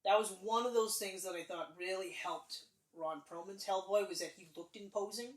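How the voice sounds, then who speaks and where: A man's voice: sits high, moderate pace. A man co-hosting a podcast, chatting casually about movies in a rambling way, full of fillers and restarts. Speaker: podcast co-host. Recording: roomy echo, mic distant.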